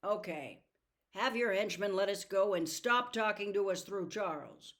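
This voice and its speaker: A woman's voice: medium pitch, measured pace, a bit bright, lightly acted. A woman voicing a determined athletic teenage boy in an audiobook, speaking in a forthright, challenging way. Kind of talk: audiobook character voice.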